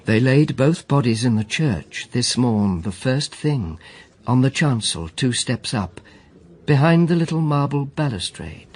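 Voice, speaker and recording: An actor playing a reflective poet narrating an old murder case in measured, literary verse for a radio drama. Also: male voice, mid-range voice, lively intonation, a little mellow, husky. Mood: storytelling.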